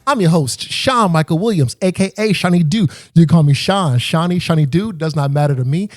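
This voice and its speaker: A man's voice: on the high side, brisk, a little mellow. A man hosting a podcast in casual chat, upbeat, laughing often and swearing freely. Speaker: podcast host. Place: Los Angeles.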